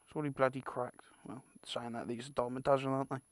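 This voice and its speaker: A man's voice: medium pitch, little pitch movement, fast talker, dry recording. A British man giving a casual, unscripted product unboxing, rambling and muttering asides to himself with the odd mild swear. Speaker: amateur video creator presenting an unboxing.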